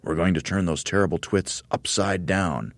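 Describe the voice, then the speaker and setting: A man's voice: deep voice, normal speed, no room sound. A man voicing a male monkey father in a storybook, speaking earnestly and firmly. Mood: calm firm.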